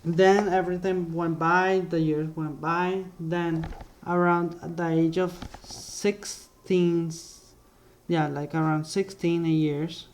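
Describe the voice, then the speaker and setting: A young man casually narrating his life story over drawings, halting often and correcting himself as he goes. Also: on the high side, little pitch movement. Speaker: vlogger.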